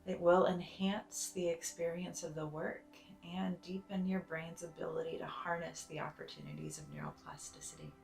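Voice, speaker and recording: A woman leading a guided meditation in a soft, soothing, deliberate way, sometimes breathy, with long pauses. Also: fairly low pitch, steady tone, reverberant. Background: soft music.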